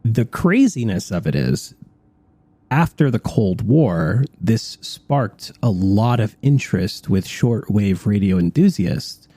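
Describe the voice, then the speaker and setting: A man's voice: on the low side, big pitch swings, slow, dark tone, slightly rough, no echo. A man co-hosting a radio show, explaining things in a conversational talk-show style.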